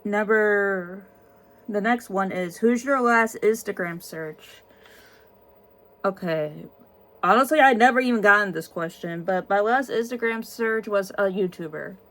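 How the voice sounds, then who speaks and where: A woman's voice: mid-range voice, a little slow, a bit bright. A woman in her twenties, tired, answering viewer questions in a casual vlog with many ums and restarts. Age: 20s.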